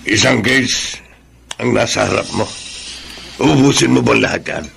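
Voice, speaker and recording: An actor playing an easygoing, gently concerned older uncle with a gravelly voice in a Filipino radio melodrama. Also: male voice, mid-range voice, measured pace, slight background noise.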